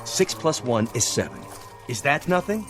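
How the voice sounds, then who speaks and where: An actor playing a suspicious, hard-nosed state trooper in a radio play, brusque and insistent. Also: male voice, mid-range voice, big pitch swings, moderate pace, lightly acted. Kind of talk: dramatic radio play acting. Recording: radio play with prominent music underneath.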